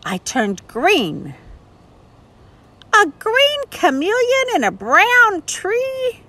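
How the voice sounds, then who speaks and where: A woman's voice: sits high, pushed and bright, pitched above the performer's own voice, heavily acted. A woman doing a boastful chameleon.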